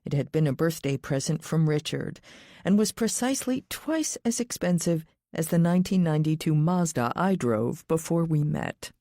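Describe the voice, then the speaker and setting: Low-voiced woman narrating an audiobook. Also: lively intonation, normal speed, dark tone, no room sound, studio quality. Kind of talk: scripted first-person thriller audiobook narration.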